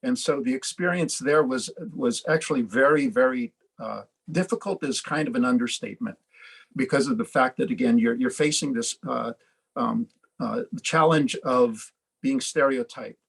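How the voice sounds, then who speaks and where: A man on a community panel speaking reflectively, pausing and restarting as he thinks. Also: mid-range voice, vocal fry, a bit bright, breathy edge, rough recording. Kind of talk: panel discussion over video call.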